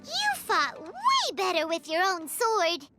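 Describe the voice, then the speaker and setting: An actress voicing a tiny, curious, childlike fairy companion with a squeaky, chirpy voice in a video game. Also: female voice, huge pitch swings, slow pace, over music, full character voice.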